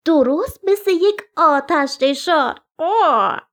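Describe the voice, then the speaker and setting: A motherly crow in a bedtime story, put on by a woman. She speaks high and unhurried, tender and reassuring, with caws between phrases. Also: lively intonation. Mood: tender, proud.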